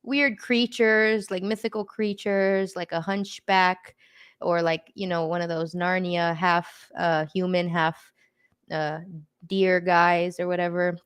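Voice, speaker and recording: A woman's voice: on the low side, little pitch movement, takes it slowly, clear, resonant tone, no echo, studio quality. A woman casually sharing personal experiences in a group discussion, in an informal, conversational way.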